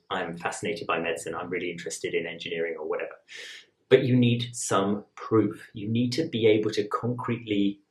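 A man, an admissions tutor calmly explaining to camera in a measured, conversational way. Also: on the low side. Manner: measured, conversational, rhetorical asides. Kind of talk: explaining to camera, advice lesson. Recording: roomy echo.

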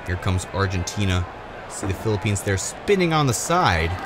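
A man's voice: low-pitched, very animated, normal speed. A man giving play-by-play sports commentary on a race. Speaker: sports commentator for marble races. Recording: loud crowd noise behind commentary. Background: loud crowd and ambient noise.

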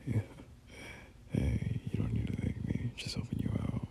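A man playing a gentle, doting boyfriend in an ASMR roleplay, half-whispering soothing, hesitant reassurance in a breathy murmur. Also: normal speed, close to an everyday voice. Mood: tender, soothing. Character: gentle, doting boyfriend comforting an unwell partner.

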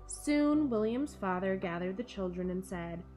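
An American woman presents a storytime for young children in an expressive, enthusiastic way. Her pitch sits in a middle range, and she is recorded indoors at home with music in the recording. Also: unhurried. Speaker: camp director hosting a children's story corner. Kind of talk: children's storytime presenting and picture-book read-aloud. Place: small furnished room at home, indoors.

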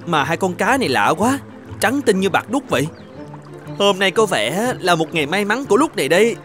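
A man's voice: high voice, sing-song, a little fast, fairly bright tone. A man voicing a lonely, good-natured young village fisherman in a cartoon. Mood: excited, cheerful. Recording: music bed under the voice.

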